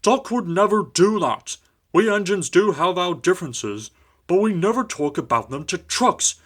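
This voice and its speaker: A man's voice: sits high, expressive, normal speed, voice pushed up. A man voicing a proud male steam engine in a storybook, speaking in a haughty, indignant way.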